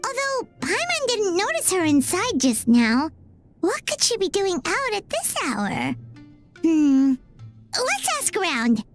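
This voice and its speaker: Tiny squeaky fairy sidekick, excitable and very bouncy, game music under her. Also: full character voice. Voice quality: high squeaky childlike. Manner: excitable, refers to herself in third person. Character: tiny floating female fairy companion, chirpy.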